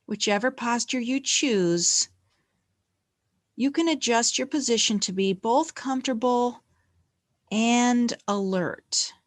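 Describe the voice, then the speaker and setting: A woman leading a guided meditation in a gentle, calm, unhurried manner with long pauses between phrases. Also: on the high side, no echo, studio quality.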